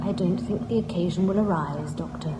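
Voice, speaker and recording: An actress playing a calm, megalomaniac female ship's computer with composed, formal and pompous diction in a science-fiction radio drama. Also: fairly low pitch, fairly quick, background noise, lightly acted. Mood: coolly grandiose. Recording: echoing radio drama with music.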